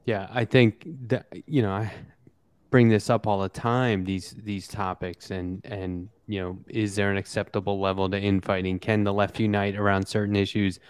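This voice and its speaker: A man's voice: on the low side, flat delivery, normal speed, clean tone, dry recording. A man hosting a casual political discussion, talking in an earnest, reflective and conversational way. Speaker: show host.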